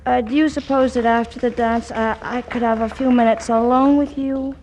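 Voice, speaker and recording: A woman's voice: a little high, steady tone, moderate pace, darkish tone, slight background noise, natural voice. An actress playing a nervous young woman passing as a sweet schoolgirl in a 1940s radio comedy. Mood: shy, pleading. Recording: 1940s radio broadcast recording.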